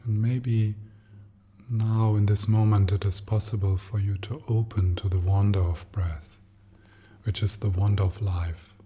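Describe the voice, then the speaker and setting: A man leading a guided meditation as a teacher, speaking gently and softly in short, unhurried phrases with long pauses and a breathy, husky tone. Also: lowish voice, fairly even intonation, a little hiss or hum.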